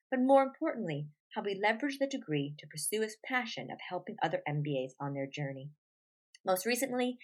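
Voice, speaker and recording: A woman from New York co-hosting a podcast, interviewing a guest in an upbeat, enthusiastic way. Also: lowish voice. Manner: enthusiastic and upbeat, saying brilliant often. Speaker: podcast co-host. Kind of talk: conversational interviewing.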